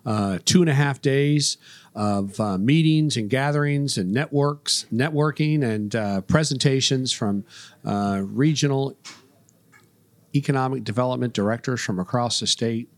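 A man's voice: mid-range voice, no echo. A man hosting a business podcast, talking in a chatty way with many fillers. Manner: chatty, lots of uh fillers, bursts of speed. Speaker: podcast host. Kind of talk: casual interview.

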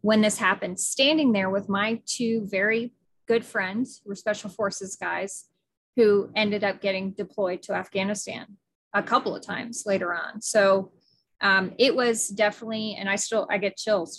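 A woman's voice: medium pitch, fairly even intonation, normal speed, poor audio. Chatty woman podcast host. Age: middle-aged. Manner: warm, enthusiastic, many fillers and restarts. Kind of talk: casual interview.

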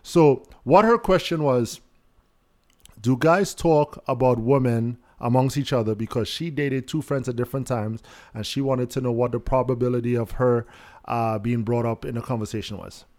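A man's voice: mid-range voice, moderate pace, a little mellow. Laid-back Trinidadian man, storytelling. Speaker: podcast co-host. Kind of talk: casual podcast banter.

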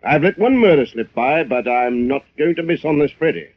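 An actor playing a stubborn, emphatic police commissioner in 1940s style radio drama. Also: male voice, a little high, expressive, normal speed, faint music behind, lightly acted. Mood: determined. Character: blunt, stubborn police commissioner, sure of his theory. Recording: muffled, hissy old radio recording.

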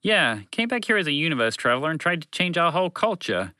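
A condescending alien creature from a storybook, voiced by a man. It argues every point in a bright, raised voice and takes offence easily, with pitch that jumps around in lively swings. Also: quick pace, clear, resonant tone, dry recording, studio quality. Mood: matter-of-fact. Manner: condescending, argumentative, casual phrasing.

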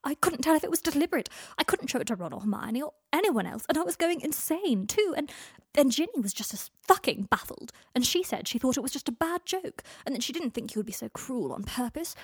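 A proud young man's voice, cold and cutting, bursting into high, near-cracking yells of fury, as a woman reading aloud puts him on. He is pitched well up and loud, heard close and dry. The scorn never leaves his tone. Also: lively intonation, a little fast, dry recording. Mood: yelling.

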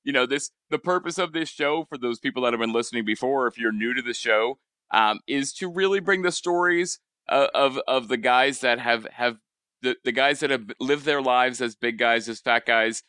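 A man with a Rhode Island accent hosting a podcast interview, speaking casually with halting restarts and repeated words. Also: medium pitch, pushed and bright, no room sound, clean studio sound. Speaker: podcast host.

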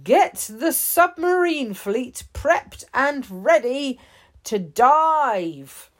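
A woman doing a bossy, high-voiced police chief. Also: lively intonation, extremely slow, heavily acted. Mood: commanding. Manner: bossy, urgent, raised voice. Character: brisk commanding male police chief.

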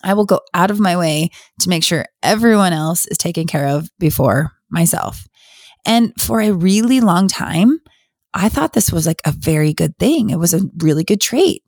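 A middle-aged woman hosting her own podcast, talking in an open, confessional, conversational way, her voice slightly hoarse. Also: on the low side, animated, normal speed, a little mellow, no echo, studio quality. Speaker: podcast host. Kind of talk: casual solo podcast talk.

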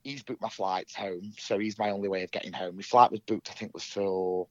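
A man's voice: on the low side, steady tone, fairly quick, darkish tone, no room sound, lo-fi sound. A young man from England with a cold, sounding nasal, telling his story in an interview with many ums and restarts. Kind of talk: casual recounting in an interview.

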